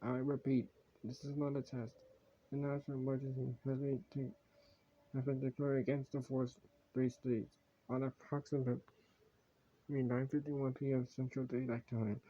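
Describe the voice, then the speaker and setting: A grave emergency alert announcer, played by a man, delivers urgent warnings in a mock broadcast. The delivery is halting and repetitive, with stumbles over the script. The sound is dull and lo-fi, with a middling pitch. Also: level tone, lightly acted. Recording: dull, lo-fi home recording.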